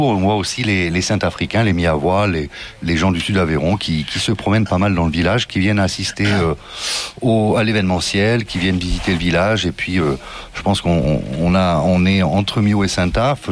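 A man, an interview guest, answering haltingly with many fillers and repeated words. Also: low-pitched, a little hiss or hum.

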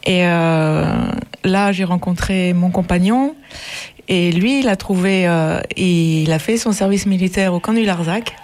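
A woman in her fifties from northern France answers a radio interviewer about her life as a farmer. She speaks hesitantly, with frequent fillers and restarts, in a lowish voice. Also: a little slow, a little mellow. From northern France, near Lille. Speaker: guest, sheep farmer.

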